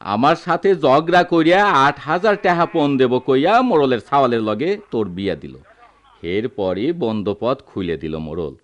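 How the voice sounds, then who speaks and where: A man's voice: mid-range voice, lively intonation, moderate pace, close to an everyday voice. An actor playing an aggrieved, fiery old village father and widower in a Bengali radio drama, lamenting emotionally in a rural Bangladeshi dialect. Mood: bitter, sorrowful.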